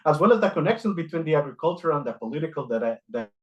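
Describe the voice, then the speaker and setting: A middle-aged man from Costa Rica giving an academic lecture, reading from notes in a measured way with frequent "uh" fillers. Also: on the high side, lively intonation, highs cut off. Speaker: guest lecturer.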